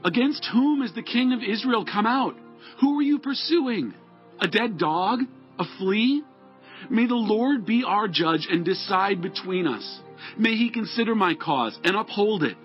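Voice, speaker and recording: Give me an actor as an impassioned high-voiced young warrior. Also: male voice, lively intonation, a little slow. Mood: impassioned pleading. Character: young outlaw warrior David, earnest, pleading, devout. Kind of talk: dramatized scripture audio acting. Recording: dull lo-fi audio with music.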